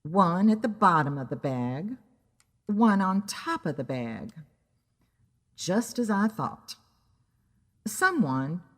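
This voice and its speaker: A woman playing a wry, easily annoyed private investigator who narrates her own story in a deliberate, dramatic way. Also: on the low side, darkish tone, long reverb tail. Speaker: narrator and lead character of an audio drama. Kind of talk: scripted first-person detective story narration.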